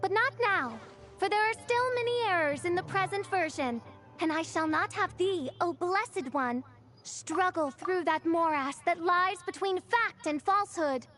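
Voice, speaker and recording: A woman's voice: very high-pitched, a little slow, fairly bright tone, faint music behind. A woman playing a grandiose teenage girl who styles herself a princess, declaiming in archaic, theatrical English for an anime game. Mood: lofty, reassuring. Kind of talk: English-dubbed anime game acting, archaic florid speech.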